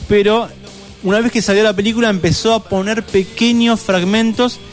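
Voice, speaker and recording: A man's voice: sits high, fairly even intonation, moderate pace, soft background music. A man talking at length about films on a radio show, often restarting his sentences. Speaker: radio co-host. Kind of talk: casual radio chat on films.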